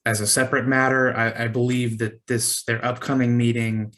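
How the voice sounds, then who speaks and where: A man's voice: mid-range voice, level tone, normal speed, fairly bright tone. A man working as a city staffer, answering questions on a conference call in a careful, hesitant way. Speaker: city planning staffer, committee liaison.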